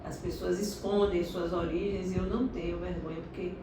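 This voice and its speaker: Middle-aged woman, low voice, distant mic, echoey noisy room, unhurried storyteller. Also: fairly even intonation. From Salvador, Bahia, Brazil. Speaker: interviewee, doctorate holder. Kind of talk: personal life story interview.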